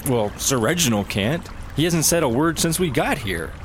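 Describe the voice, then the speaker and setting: An actor playing an excitable, sarcastic male sidekick in a sci-fi comedy audio drama. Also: medium pitch, moderate pace, a little hiss or hum, lightly acted. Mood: wry, skeptical. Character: excitable, wisecracking male sidekick in squad duo. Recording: music behind the voice.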